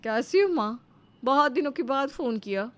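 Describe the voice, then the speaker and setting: A woman's voice: sits high, animated, moderate pace. A woman voicing a crafty, boastful elder daughter-in-law chatting away in a moral story. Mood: affectionate.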